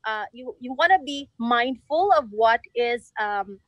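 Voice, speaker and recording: A woman's voice: fairly high pitch, lively intonation, unhurried, dry recording, dull audio. A woman chatting casually as an interview guest, explaining her decorating work in a conversational way. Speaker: guest event decorator and designer.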